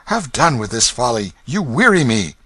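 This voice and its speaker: A haughty young king, put on by a male narrator answers in short, cold, disdainful lines. The voice sits a little raised, bright and dry as in a close storybook reading. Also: mid-range voice, expressive, measured pace, dry recording, pitched above the performer's own voice. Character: proud, haughty boy king in rags.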